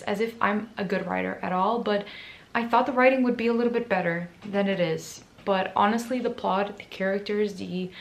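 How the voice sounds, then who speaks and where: Hoarse, gravelly-voiced woman book vlogger. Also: mid-range voice, echoey room. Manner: chatty, self-deprecating. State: hoarse, raspy voice from screaming. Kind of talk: casual book chat to camera.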